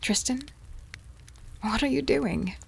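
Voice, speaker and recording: An actress playing a caring, devoted young healer in a dark fantasy audio drama, speaking softly and intimately. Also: female voice, sits high, slow pace, vocal fry, a little hiss or hum, full character voice. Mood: gently insistent. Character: caring, soft-spoken young female healer, devoted and mysterious. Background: music with rain sounds.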